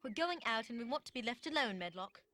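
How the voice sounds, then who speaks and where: An actor playing a sickly, spoiled young boy in an animated drama, with an imperious, whiny, dramatic manner. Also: mid-range voice, fast talker. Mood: defiant.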